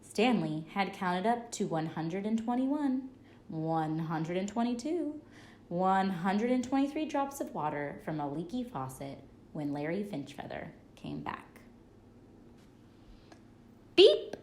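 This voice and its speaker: A woman reads a children's story aloud in a slow, measured way. Her intonation is lively and expressive, rising and falling to keep young listeners engaged. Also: lowish voice, a little hiss or hum. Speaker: children's book reader.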